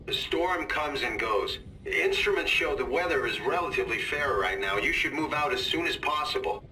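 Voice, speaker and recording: A man's voice: medium pitch, expressive, normal speed, bright, forceful tone, roomy sound, far from the mic, a little hiss or hum, poor audio. A man playing a wary station operator answering a radio call in a dramatic video game. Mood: measured, advising.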